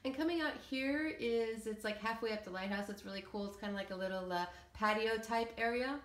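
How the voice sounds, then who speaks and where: A woman's voice: a little high, brisk. A woman giving a casual, hesitant, meandering walkthrough commentary of a game build. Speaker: video creator showing game settlement builds. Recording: roomy, echoey room sound.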